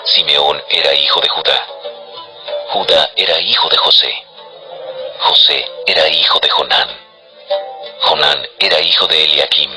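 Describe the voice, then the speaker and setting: A man narrating scripture as a scripted dramatization, in measured, declarative storytelling. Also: very deep voice, fairly even intonation, music in the background, rough recording.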